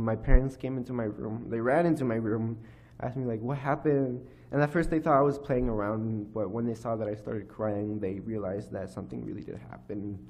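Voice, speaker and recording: A teenage boy giving a personal testimony at a church lectern, speaking haltingly with many fillers and restarts. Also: on the low side, darkish tone, a little hiss or hum. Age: teens. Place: church hall, indoors. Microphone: microphone on a lectern stand.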